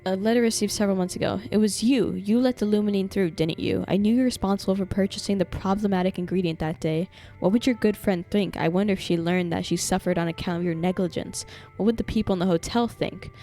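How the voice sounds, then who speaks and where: A woman's voice: medium pitch, quick pace, hardly any pauses. A woman live-streaming a game, reacting expressively and reading game text aloud dramatically. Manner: expressive, sweary, incredulous reactions. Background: game music.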